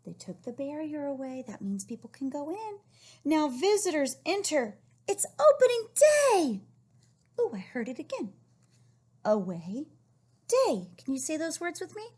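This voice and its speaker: A woman reading a picture book aloud to young children, encouraging and questioning them in an animated way with a husky, breathy voice. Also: sits high, unhurried. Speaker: read-aloud storyteller teaching young children.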